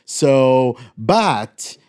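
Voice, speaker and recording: A middle-aged man from Brazil speaks English with a Brazilian accent, his native Portuguese showing through. He co-hosts a podcast in a mid-range voice, explaining things in a measured, step-by-step way. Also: medium pitch, sing-song, a little mellow, clean tone. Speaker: podcast co-host. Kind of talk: casual podcast conversation.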